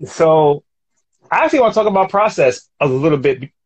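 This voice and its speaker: A man's voice: fairly high pitch, sing-song, a little fast, low-quality recording. A man hosting a podcast interview, encouraging his guest and hyping her up with slang. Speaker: podcast host. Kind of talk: casual conversational interview.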